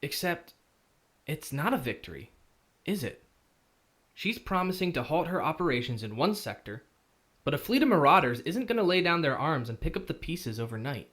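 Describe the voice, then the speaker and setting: A man's voice: fairly high pitch, fairly bright tone. An actor playing an earnest, sceptical young male student hero in a visual novel, with a questioning manner.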